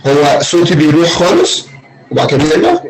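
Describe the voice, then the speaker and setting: A man with an Egyptian Arabic accent, quick and apologetic, speaking as host on a video call. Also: on the high side, wildly swooping pitch. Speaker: podcast host. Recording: echoey call with chatter behind.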